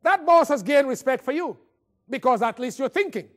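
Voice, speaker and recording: A man's voice: very high-pitched, lively intonation, moderate pace, fairly bright tone. A man with a Caribbean accent giving a motivational talk, telling humorous personal stories in a theatrical, conversational way. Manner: theatrical storytelling, acting out dialogue. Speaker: motivational speaker.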